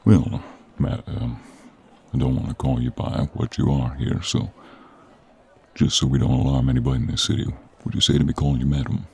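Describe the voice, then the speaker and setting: Gruff monster hunter played by a man, extremely low husky voice, wry and informal but honourable, for audio roleplay. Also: level tone, a little fast, a little mellow, exaggerated voice. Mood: wry, guarded. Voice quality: gravelly, husky. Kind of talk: audio roleplay acting, speaking to the listener.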